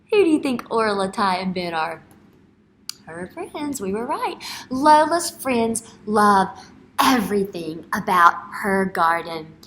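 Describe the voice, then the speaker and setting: A woman in her thirties, an elementary teacher reading a picture book aloud and putting questions to young children in an expressive way. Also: mid-range voice. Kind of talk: children's read-aloud and retelling lesson. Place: indoor classroom. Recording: clear background room noise.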